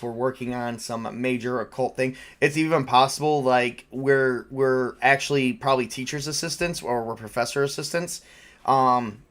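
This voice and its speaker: A man's voice: medium pitch, fairly bright tone. A man casually improvising as game master, rambling and rephrasing as he narrates and banters in character. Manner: rambling, repeats and rephrases himself, makes spoken sound effects.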